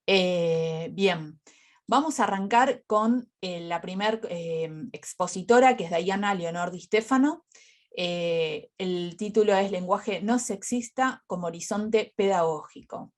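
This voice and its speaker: A woman moderating an online academic conference, introducing speakers in a halting, hesitant way. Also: on the low side. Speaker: session coordinator and moderator.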